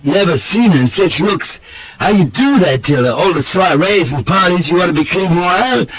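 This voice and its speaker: Audiobook role: gallant roue, played by a man, gushing flattery in a bright, forceful drawl. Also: low voice, normal speed, gravelly, husky, exaggerated voice, rough recording. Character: gallant Southern rake, an oily flattering companion.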